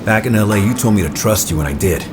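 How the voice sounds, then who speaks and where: An actor playing a terse, dry-witted American heist leader in an action video game. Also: male voice, lowish voice, animated, quick pace, over music, close to an everyday voice. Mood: steady, focused. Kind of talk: video game voice acting, naturalistic crime drama dialogue. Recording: loud game soundtrack behind voice.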